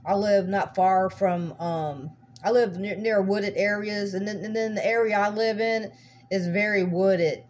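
A woman's voice: mid-range voice, steady tone, measured pace, a little mellow. A woman narrating a home video in casual, folksy, rambling chat, often repeating her words. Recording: humming noisy amateur recording.

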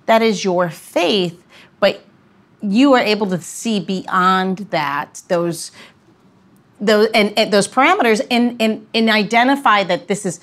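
A woman co-hosting a podcast, chatting casually and repeating phrases haltingly as she builds a point. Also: mid-range voice, fairly bright tone. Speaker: podcast co-host. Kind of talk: casual chat.

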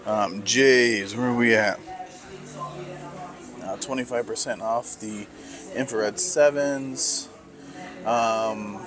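Slow, musing man in a sneaker store. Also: medium pitch. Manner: slow, musing, lots of fillers. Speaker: sneaker vlogger. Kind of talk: casual walk-through commentary. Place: sneaker stores in a shopping mall.

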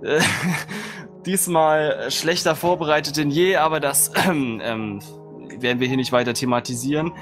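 A man's voice: a little high, normal speed, music in the background. A man hosting a livestream, moving between casual chat and narration of horror stories. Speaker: livestream host and horror story reader.

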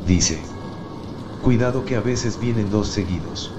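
A male text-to-speech voice flatly reading out viewer messages. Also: on the low side, unhurried, dull audio. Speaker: text-to-speech chat reader. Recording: loud background noise.

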